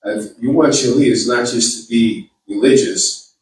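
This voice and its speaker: A man's voice: mid-range voice, a little slow. A middle-aged man with a Boston accent giving an earnest campaign speech with a preacherly, repeating cadence. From Boston, Massachusetts. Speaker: political candidate. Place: community hall. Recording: echoey hall, mic from far off.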